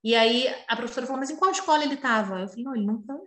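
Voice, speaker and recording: A woman's voice: on the high side, rapid-fire, a bit bright, echoey room. A middle-aged Brazilian woman telling personal stories openly and expressively in a casual conversation. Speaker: interview guest.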